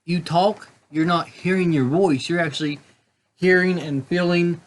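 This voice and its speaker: A man with a slightly raspy voice with a country vibe, chatting casually and musing with many fillers while reviewing cigars. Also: on the high side, steady tone, unhurried, slight background noise. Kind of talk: casual chat.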